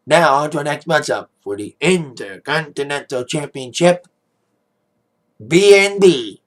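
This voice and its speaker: A man with a raspy, worn-out voice giving a casual spoken review, rambling with plenty of "like" and "uh". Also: medium pitch. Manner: rambling, heavy on "like", "uh" and "you know". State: hoarse, voice fading after a DJ gig. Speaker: wrestling pay-per-view reviewer.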